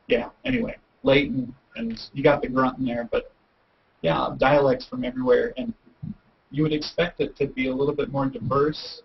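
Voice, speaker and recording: A man's voice: medium pitch, vocal fry. A man chatting casually in a rambling, tentative way on a group video call. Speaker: hangout guest. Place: a remote spot on a video call. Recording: muffled, noisy video call with clicks.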